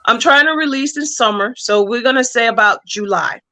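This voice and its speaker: A woman's voice: a little high, normal speed, pushed and bright. A woman speaking casually as an interview guest, explaining plans for a story as a comic book writer.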